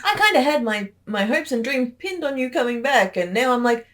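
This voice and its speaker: A woman's voice: on the high side, expressive, brisk, fairly bright tone. A woman co-hosting a commentary in casual, bantering conversation.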